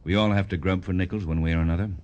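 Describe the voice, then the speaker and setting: An actor playing a suave, unashamed rich lawyer, urbane and familiar, in old American radio drama style. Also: male voice, low-pitched, steady tone, rapid-fire, darkish tone, dull audio, close to an everyday voice. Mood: suave, cynical. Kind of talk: 1950s American radio crime drama acting.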